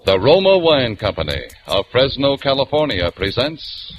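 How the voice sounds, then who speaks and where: A man's voice: mid-range voice, big pitch swings, moderate pace, a little hiss or hum. Formal 1940s radio announcer, man. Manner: formal, emphatic delivery. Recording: dull old radio broadcast with music.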